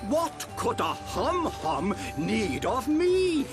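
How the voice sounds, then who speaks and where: An actor playing the kindly old chief of small furry creatures in a video game, benevolent and quaint in manner. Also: male voice, extremely high pitch, wildly swooping pitch, drawn-out words, music in the background, heavily acted. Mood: gracious, curious. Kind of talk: video game cutscene acting.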